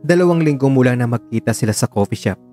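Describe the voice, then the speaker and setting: A Filipino man narrates a radio drama from a script. His voice is mellow and dark at an average pitch, with clear music playing behind it. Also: animated, fast talker.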